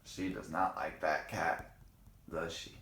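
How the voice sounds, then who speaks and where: A man's voice: low voice, fairly even intonation, takes it slowly, a bit bright. A man reading a picture book aloud to children in a playful, dramatic way, with chatty asides to the kids. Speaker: read-aloud storyteller for children. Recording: roomy, echoing room sound.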